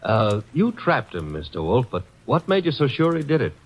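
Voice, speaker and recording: An actor playing a breezy, wisecracking assistant to a detective, in 1940s radio acting. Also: male voice, medium pitch, wildly swooping pitch, moderate pace. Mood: wry. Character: wisecracking young male sidekick, breezy and cocky. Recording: dull old radio broadcast recording.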